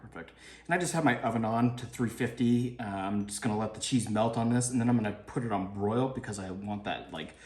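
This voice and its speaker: A man's voice: fairly low pitch, fairly quick, darkish tone, reverberant. A man chatting casually as he cooks in his home kitchen, laid-back and swearing now and then. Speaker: home cook vlogger. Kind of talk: casual cooking narration.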